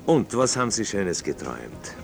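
An actor playing an amused, nosy late-night radio host who questions callers with teasing condescension. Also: male voice, lowish voice, big pitch swings, normal speed, creaky, soft background music. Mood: affable, probing. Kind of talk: radio phone-in acting.

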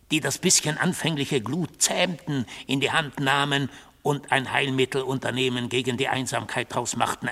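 A solemn zealot god in a radio play, creaky husky voice, preaching order in a long echo. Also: male voice, mid-range voice. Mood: scornful. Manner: solemn, insistent, declamatory, lapsing into hushed intensity. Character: mystical Good God on trial, fanatical defender of order. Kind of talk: German radio drama acting. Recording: long hall-like reverb.

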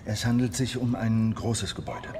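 A man's voice: medium pitch, level tone, normal speed, background noise, natural voice. A man playing a confident criminal planning a heist in a radio crime drama, explaining in an assured, matter-of-fact way.

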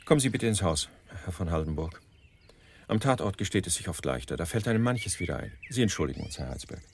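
An actor playing a grave, courteous homicide detective in a radio crime drama, speaking in a formal, measured and knowing way. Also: male voice, sits low, expressive, creaky, heavy background noise.

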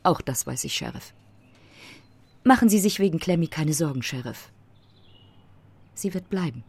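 Brief: knowing woman photographer, radio drama, low and husky, lively swooping delivery, teasing and self-assured. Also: normal speed, no room sound, full character voice. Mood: knowing, provocative. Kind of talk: German radio drama dialogue.

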